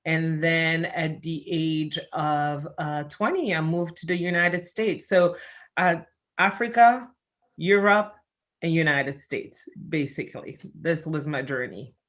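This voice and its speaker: A woman's voice: deep voice, slow pace, a bit bright. A middle-aged woman from Congo, raised in Belgium, answering interview questions in a chatty way with many fillers and restarts. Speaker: interview guest.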